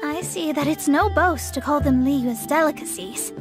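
A woman's voice: high-pitched, normal speed, music in the background, lightly acted. A young woman playing a proud, self-important astrologer in a video game, speaking in a formal, theatrical, haughty manner. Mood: haughty, pleased. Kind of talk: English game dub acting.

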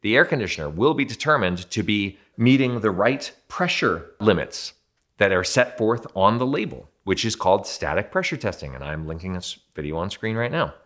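A man's voice: on the low side, big pitch swings, normal speed, fairly bright tone. A man in his thirties, a building performance consultant, explaining home quality control to camera in a conversational, self-deprecating way from an indoor studio.

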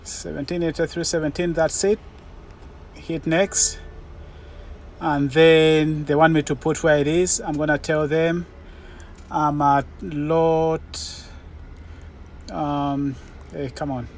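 Male truck-driver vlogger, slow and step-by-step, casual, faint vehicle noise in the background. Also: fairly high pitch, little pitch movement. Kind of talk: casual vlog walkthrough, thinking aloud. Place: truck cab and outdoor rail yard.

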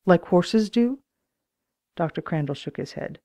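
Creaky-voiced woman reading a children's story. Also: on the low side, expressive, measured pace, dark tone. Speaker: audiobook co-reader.

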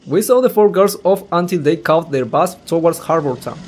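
Rambling man doing lively game commentary. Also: on the high side, normal speed, husky. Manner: rambling, stumbling over words, swearing mildly. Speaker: let's player. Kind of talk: casual reaction commentary while reading a visual novel aloud.

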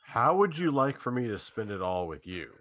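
A man's voice: medium pitch, sing-song, moderate pace, fairly bright tone, dry recording. A man reading a male lead's lines in an informal staged reading of a game, with joking asides.

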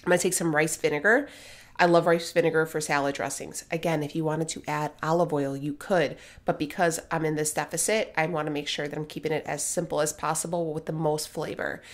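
Low-voiced chatty woman, blunt and joking. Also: steady tone, fairly quick. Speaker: vlogger. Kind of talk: casual voice-over cooking narration.

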